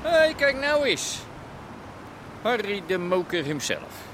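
An actor playing a chummy, scheming street crook in a radio drama, with a familiar, wheedling manner. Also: male voice, high voice, big pitch swings, takes it slowly, heavily acted. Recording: noisy outdoor street ambience.

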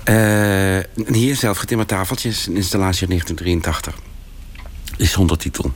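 An actor playing an earnest, self-important artist in a radio comedy, speaking in halting fragments with a deadpan delivery. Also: male voice, sits low, slight background noise, lightly acted. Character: earnest, self-important male artist recounting his oeuvre. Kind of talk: deadpan mock-documentary monologue.

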